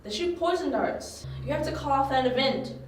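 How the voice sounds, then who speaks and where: High-pitched, earnest young sidekick, played by a woman. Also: expressive, moderate pace, a little hiss or hum. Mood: worried. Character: loyal, vengeful young male detective's sidekick. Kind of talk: amateur audio drama acting. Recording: echoey room, amateur home recording.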